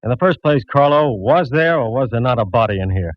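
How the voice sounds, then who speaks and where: A man's voice: mid-range voice, expressive, brisk, no echo, natural voice. An actor playing a wry male police lieutenant in a 1950s radio drama, speaking in a dry, laconic way. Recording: dull, noisy old radio broadcast.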